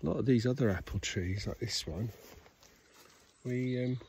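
A man giving a relaxed, rambling outdoor tour of his smallholding, filmed on a handheld phone. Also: medium pitch, normal speed, a little hiss or hum. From Scotland. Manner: relaxed, rambling, with restarts and fillers. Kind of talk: casual walking tour chat. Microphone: handheld phone camera.

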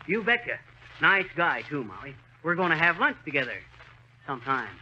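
Cocky, gullible handyman, slangy and animated, high male voice, old radio comedy. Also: normal speed. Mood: cheerfully gullible. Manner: folksy, slangy, blustering. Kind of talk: 1930s radio comedy acting. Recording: very noisy, dull 1930s radio recording.

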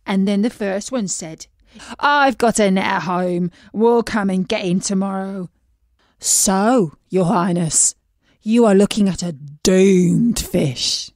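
A woman's voice: mid-range voice, slow, darkish tone, no room sound, clean studio sound. A woman voicing a glum, plain male pond fish in a storybook reading, speaking in a mournful, heavy way. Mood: gloomy.

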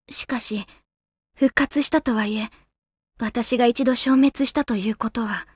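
A woman playing a gentle, solemn female guardian spirit in a Japanese video game, speaking politely and formally with composure. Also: high-pitched, husky, dry recording, studio quality. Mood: grave, courteous.

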